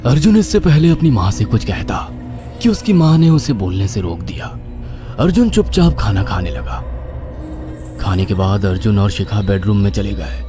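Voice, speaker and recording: A man's voice: fairly low pitch, very animated, moderate pace, music in the background. A man narrating a scripted horror story in a dramatic style.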